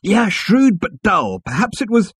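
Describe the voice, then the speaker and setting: A man puts on a cocky, excitable alien hipster for a sci-fi audiobook. The voice is pushed high and swoops about, full of slangy swagger and exclamation. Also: a little slow, slightly rough, dry recording, clean studio sound, full character voice. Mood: cocky, impatient. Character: brash, excitable two-headed alien man, cocky and hip.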